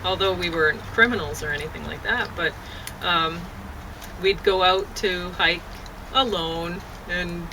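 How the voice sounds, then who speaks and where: A woman's voice: fairly low pitch, a little slow. Woman chatting over traffic noise. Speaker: poet and vlogger. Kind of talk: casual chat. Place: outdoors on a deck near downtown. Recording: clear outdoor urban and traffic noise.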